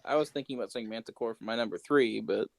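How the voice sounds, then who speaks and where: A man's voice: medium pitch, fast talker, creaky. A man co-hosting a casual podcast, bantering in a teasing, sarcastic way. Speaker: podcast co-host.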